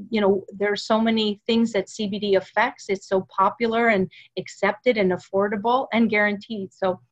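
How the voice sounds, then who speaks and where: A woman hosting a sales interview over a video call from her home office, speaking with animated enthusiasm. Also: medium pitch, lo-fi sound. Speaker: webinar host. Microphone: webcam video call.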